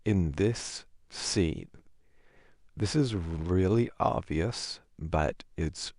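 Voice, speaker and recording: Deep, slow-talking man with a gravelly edge. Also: lively intonation, a little mellow, dry recording, studio quality. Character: awkward, amiable boyfriend on a movie night. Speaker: boyfriend roleplay voice performer. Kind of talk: scripted ASMR roleplay, casual conversation.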